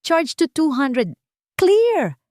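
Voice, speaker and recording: A woman narrator voicing an urgent, commanding female emergency doctor in an audio drama, shouting clipped orders. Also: sits high, very animated, extremely slow, dry recording, studio quality, pitched above the performer's own voice, full character voice.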